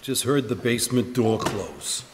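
A man's voice: medium pitch, animated, unhurried, background noise, lightly acted. An actor playing a swaggering, slangy gangster gunman in a live radio crime drama. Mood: gruff, bossy. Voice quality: slangy tough-guy speech. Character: bossy, swaggering gangster gunman, a street tough. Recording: live stage in echoey hall.

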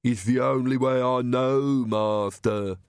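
A man voicing a slow, deferential yet stubborn hunched servant and coachman in a fantasy audiobook. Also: mid-range voice, fairly even intonation, clean tone, no echo, clean studio sound.

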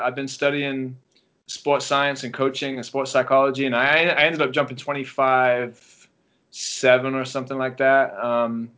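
English accent, man, bright voice, easygoing storyteller, dull lo-fi audio. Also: mid-range voice. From England. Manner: relaxed storytelling with many ums and restarts. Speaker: guest track and field coach. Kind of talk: casual podcast interview.

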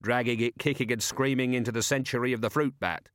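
A man's voice: mid-range voice, steady tone, fast, a bit bright, no echo, close to an everyday voice. A man voicing a weary, sardonic middle-aged male commander with dry, sarcastic remarks.

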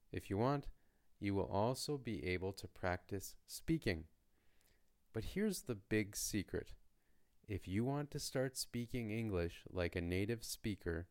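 A man from Canada hosting a beginner language podcast, speaking in a slow, lightly joking teaching voice. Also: fairly low pitch, clean tone. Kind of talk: slow, clear lesson narration for learners.

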